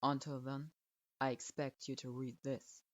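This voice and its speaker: A woman's voice: extremely low pitch, level tone, measured pace, no echo, pitched below the performer's own voice. Woman voicing a cold, stern male teacher. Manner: curt, clipped commands. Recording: dull lo-fi.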